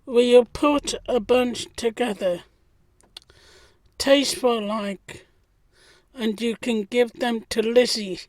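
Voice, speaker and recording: A woman playing a plain-spoken, kindly young man in a 1919 audio drama, earnest and measured. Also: fairly high pitch, fairly even intonation, a little mellow, dry recording, rough recording.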